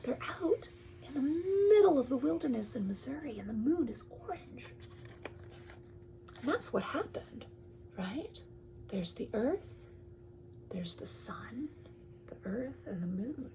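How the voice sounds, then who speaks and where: A woman reads a picture book aloud to a young child in drawn-out phrases, often dropping to a hushed tone and adding chatty asides. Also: high-pitched, a little hiss or hum, poor audio. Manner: addresses the child with questions and pointers. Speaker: storyteller reading to a young child.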